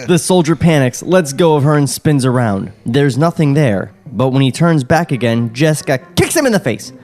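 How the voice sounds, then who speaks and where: A man's voice: mid-range voice, lively intonation, normal speed, darkish tone. A man reading screenplay stage directions on a comedy podcast, breaking into casual banter. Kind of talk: comedic table read, narration with casual banter. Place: podcast studio.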